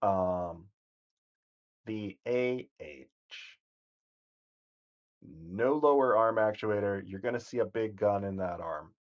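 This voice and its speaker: A man talks through a game stream in a calm, soothing voice that drifts and corrects itself as he goes. His voice sits low, and the stream audio is dull and lo-fi. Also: slow, pauses often. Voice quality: soothing, sleep-inducing voice. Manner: calm, rambling, self-correcting. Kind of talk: casual gameplay commentary.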